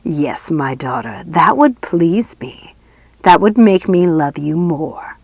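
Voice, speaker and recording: A woman voicing a cruel, hateful ghost of a father in a mirror, spitting out wicked, venomous words slowly in a storybook reading. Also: fairly low pitch, expressive, vocal fry, no room sound, heavily acted. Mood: coldly pleased. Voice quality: horrible, wicked voice. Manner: spitting, slow, venomous delivery.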